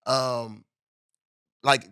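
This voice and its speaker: A man's voice: medium pitch, little pitch movement, drawn-out words, bright, forceful tone, clean tone, dry recording, clean studio sound. A man hosting a podcast, asking questions in a laid-back, slangy way and swearing freely. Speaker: podcast host. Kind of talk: casual podcast interview.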